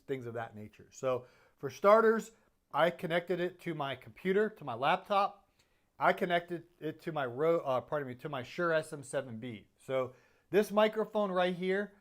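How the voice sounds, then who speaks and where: A man who is a podcaster, casually reviewing his audio gear in a chatty, self-deprecating way with lots of fillers and restarts. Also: a little high.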